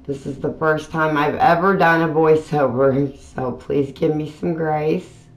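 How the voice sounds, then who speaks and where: Slow, plain-spoken woman crafter. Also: sits low, steady tone, rough recording. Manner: plain, unhurried step-by-step explaining with fillers. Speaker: craft channel host. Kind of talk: casual craft tutorial voiceover. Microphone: voiceover mic.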